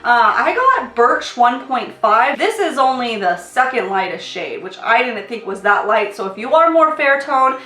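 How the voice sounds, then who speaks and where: A woman's voice: fairly high pitch, sing-song, moderate pace, hardly any pauses, sharp tone. A young woman reviewing makeup in a casual, upbeat vlog, sounding nasal and a little sick. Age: young adult. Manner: chatty, upbeat, sing-song catchphrases. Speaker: beauty vlogger. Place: small indoor filming space. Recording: echoey room.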